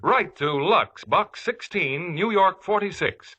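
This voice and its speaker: A man's voice: high-pitched, lively intonation, slow pace, bright, forceful tone, slightly rough, highs cut off. An American man reading a scripted 1950s radio commercial as announcer, in a polished, emphatic salesman's manner.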